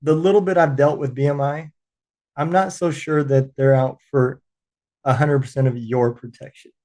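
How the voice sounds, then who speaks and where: A man hosting a podcast, a veteran talking in casual interview chat, halting with frequent restarts. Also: mid-range voice, fairly even intonation, darkish tone.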